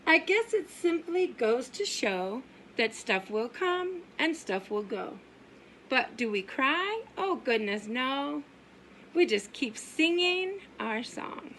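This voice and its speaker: High-voiced, slow woman reading to preschoolers. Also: sing-song, fairly bright tone, slight background noise. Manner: sing-song, exaggerated, asks the listeners questions and pauses. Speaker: preschool teacher reading aloud. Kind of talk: animated children's story time read-aloud.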